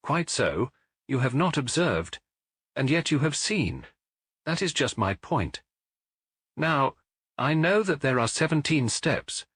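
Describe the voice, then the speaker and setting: A man voicing a keen, self-assured Victorian gentleman detective in an audiobook, with a British accent and an incisive, didactic manner. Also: medium pitch, big pitch swings, takes it slowly, long pauses, breathy edge, no room sound, studio quality, pitched above the performer's own voice, exaggerated voice. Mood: brisk, instructive. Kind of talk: audiobook character voice.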